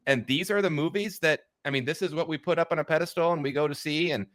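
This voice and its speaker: A man hosting a casual interview, agreeing with his guest in a halting way with repeated words. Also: fairly high pitch, a bit bright. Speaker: talk show host.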